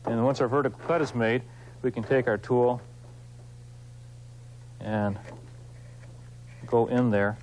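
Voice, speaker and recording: A man hosting an instructional craft demonstration, explaining informally and in a folksy, unhurried way while he works with his hands. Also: medium pitch, fairly even intonation, darkish tone, a little hiss or hum, low-quality recording. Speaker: woodcarving instructor, show host.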